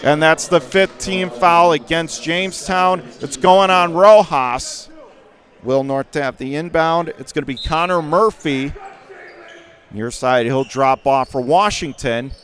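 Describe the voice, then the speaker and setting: A man calling live radio basketball play-by-play in clipped phrases that can burst into excited calls. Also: on the high side, measured pace. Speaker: play-by-play announcer. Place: courtside in a high school gym during a game.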